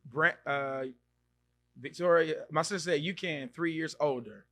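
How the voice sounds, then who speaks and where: A man in his thirties hosting a podcast, chatting casually and reacting loudly as he reads out viewer comments. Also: a little high, measured pace. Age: 30s. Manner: animated, exclaims and reacts loudly, repeats himself. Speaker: podcast host. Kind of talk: casual live-stream chat reading comments.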